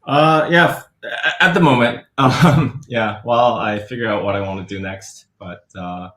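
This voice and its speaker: A man's voice: mid-range voice, moderate pace, fairly bright tone, echoey room. A man with a Korean accent, a guest in a casual webinar chat, speaking hesitantly with many fillers. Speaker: startup advisor and guest.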